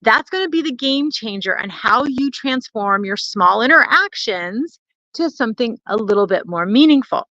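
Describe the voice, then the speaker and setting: Higher-voiced woman, chatty livestream coach, muffled sound. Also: lively intonation, normal speed, sharp tone, no echo. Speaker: networking coach and livestream host. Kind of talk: live talk to online viewers, chatty coaching. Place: indoors at a family home.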